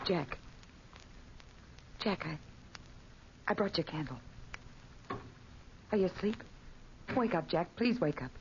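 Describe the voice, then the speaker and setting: A woman's voice: on the low side, a little mellow. A woman playing an anxious, secretly protective tavern girl in a 1940s radio drama, pleading and urgent. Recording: muffled, noisy 1940s radio recording.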